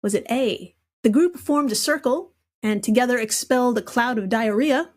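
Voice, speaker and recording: A woman's voice: on the high side, normal speed, darkish tone. A giggly, irreverent woman reading out a comedic quiz casually as a podcast guest.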